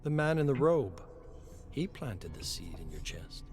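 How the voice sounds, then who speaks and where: A man voicing an eerie, pitying woman who speaks solemnly and cryptically in a dark storybook reading. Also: medium pitch, big pitch swings, unhurried, soft background music. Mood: calm, cryptic.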